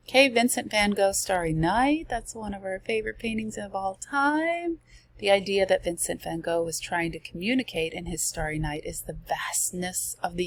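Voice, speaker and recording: A woman who is losing her voice, presenting art slides in a chatty way, reading passages and adding casual asides. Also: mid-range voice. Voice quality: gravelly. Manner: chatty, reads passages then comments with asides.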